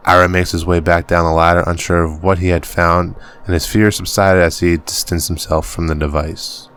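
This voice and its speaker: Deep-voiced man narrating a game. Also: little pitch movement, a bit bright, smooth. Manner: halting reading, stumbles over words and laughs it off. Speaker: gaming channel host. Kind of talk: reading game text aloud as narration.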